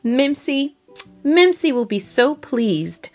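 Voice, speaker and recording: Woman reader as storybook country mouse, male: humble, courteous, earnest, unhurried with lively pitch. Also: soft background music, full character voice. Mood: pleased. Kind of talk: children's storybook character voice.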